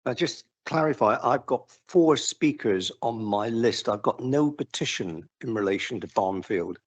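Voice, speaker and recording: Husky man chairing a meeting. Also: medium pitch, expressive, unhurried. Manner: informal, stumbling over words. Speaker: committee chair. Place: council committee room.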